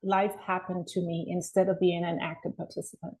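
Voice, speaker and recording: A woman's voice: lowish voice, level tone, fairly quick, a little mellow, poor audio. Woman, from Denmark, middle-aged, podcast guest, earnest, reflective. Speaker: guest, mindset coach. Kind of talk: podcast interview.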